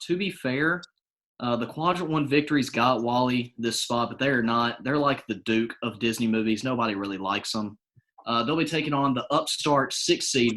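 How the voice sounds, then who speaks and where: A man's voice: mid-range voice, fairly bright tone, rough recording. A man giving mock-serious sports-style analysis as a correspondent, reading out tournament seedings.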